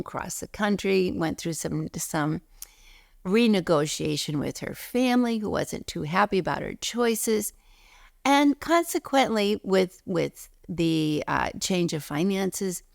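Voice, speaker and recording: A middle-aged woman, a spiritual teacher, speaking in an earnest teaching talk, a little hoarse while recovering from illness, slowing down to stress words. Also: fairly low pitch, dry recording, clean studio sound. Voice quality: froggy, gravelly.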